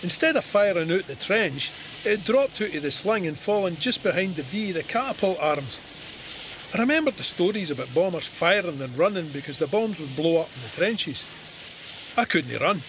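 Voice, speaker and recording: A Scottish soldier telling his war story in a radio drama. His voice sits a little high for a man, measured and plain-spoken, with some noise behind him. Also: sing-song. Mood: tense. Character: soldier Sandy recounting his Gallipoli service, plain-spoken and vivid. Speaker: narrator. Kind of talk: scripted first-person war memoir narration.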